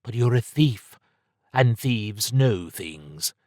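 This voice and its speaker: A man's voice: lowish voice, lively intonation, takes it slowly, a little mellow, no echo, studio quality, voice pushed up. A man voicing a guarded woman agent, blunt and clipped, with an edge to her words. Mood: pressing.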